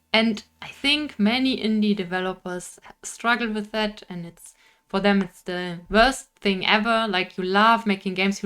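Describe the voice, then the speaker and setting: A woman's voice: medium pitch, fairly bright tone. A young woman with a Bavarian German accent, an indie game developer talking casually to camera, laughing often and searching for words.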